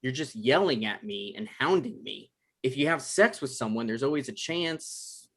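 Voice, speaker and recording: A man's voice: medium pitch, expressive, a bit bright, breathy edge. Man playing an awkward, hesitant young guy. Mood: defensive. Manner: hesitant, halting, dry. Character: awkward, apologetic young man, sarcastic when cornered. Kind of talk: table read of a comedy script.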